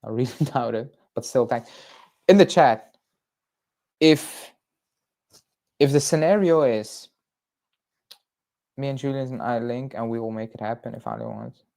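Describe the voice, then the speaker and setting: A man's voice: mid-range voice, measured pace, vocal fry, pauses often, mellow. Chatty Belgian-born man on a livestream. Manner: rambling, lots of fillers and restarts, chatty. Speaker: football fan channel host. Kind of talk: casual livestream chat reading viewer comments.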